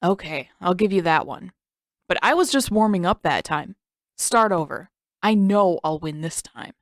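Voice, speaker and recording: A woman's voice: on the low side, lively intonation, moderate pace, no room sound, studio quality. Woman voicing a cocky teenage boy, bickering. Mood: smug. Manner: boastful, griping, scoffing.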